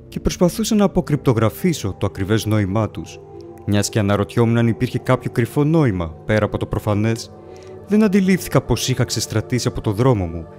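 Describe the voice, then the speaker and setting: A man playing a haunted, bewildered wanderer who narrates a gothic horror tale in a solemn, measured, dramatic way. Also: on the low side, a little mellow. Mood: uneasy, astonished. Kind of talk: dramatic first-person audiobook narration.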